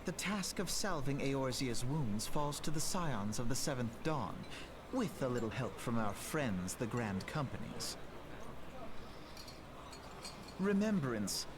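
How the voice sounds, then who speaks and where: A man playing a self-assured young noble brother in a fantasy game, speaking in lofty, measured, formal phrases. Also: medium pitch, very animated, noisy background. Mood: resolute. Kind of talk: scripted fantasy game cutscene acting.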